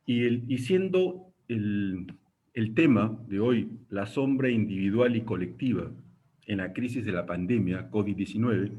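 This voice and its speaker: A man acting as host, formally introducing and questioning a guest speaker in halting, hesitant phrases. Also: fairly low pitch, expressive, mellow, breathy edge, dull audio.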